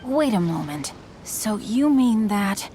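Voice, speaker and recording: A woman's voice: medium pitch, very animated, takes it slowly, very noisy. A woman voicing a bookish, well-bred teenage boy in a video game, speaking formally and courteously. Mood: puzzled.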